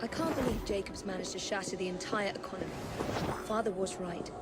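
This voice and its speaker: An actress voicing a composed young woman assassin, crisp, level and lightly wry. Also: medium pitch, fairly quick, lightly acted. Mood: calm. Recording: game cutscene over loud street crowd and noise.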